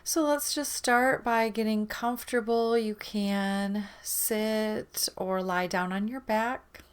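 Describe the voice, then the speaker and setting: A woman leading a guided meditation in a gentle, soothing manner, leaving long pauses between phrases. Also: mid-range voice, steady tone, clean tone.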